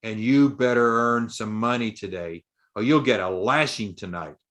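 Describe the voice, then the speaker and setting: A man's voice: on the low side, normal speed, a bit bright, natural voice. A man voicing a cruel, evil male master of child beggars in a storybook, barking out threats. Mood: threatening.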